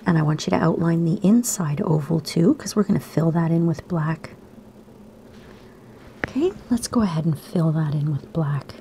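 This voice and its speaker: Low, gravelly, half-whispered woman teacher. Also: moderate pace, slight background noise. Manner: soft, half-whispered, gentle instructions. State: raspy, slightly sore throat. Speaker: art teacher for kids. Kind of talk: step-by-step drawing lesson. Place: home room by a window.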